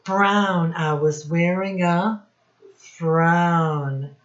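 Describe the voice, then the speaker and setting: A woman teacher speaking to young children in a singsong instructional style, with simple phrases and deliberate repetition. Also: fairly low pitch, reverberant. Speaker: children's teacher and read-aloud host. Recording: dull, lo-fi sound.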